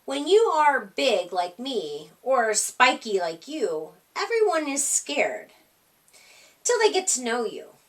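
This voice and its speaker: Woman as a big gentle hippo, unhurried. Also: high-pitched, big pitch swings, recorded from a distance, exaggerated voice. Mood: gentle, reassuring.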